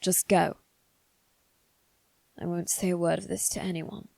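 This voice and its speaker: A woman voicing a guarded, haughty young noble boy in a scripted ASMR roleplay, with measured, formal phrasing. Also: fairly low pitch, level tone, creaky, mellow, no room sound. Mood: urgent, conflicted. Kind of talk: ASMR roleplay, one-sided scripted dialogue.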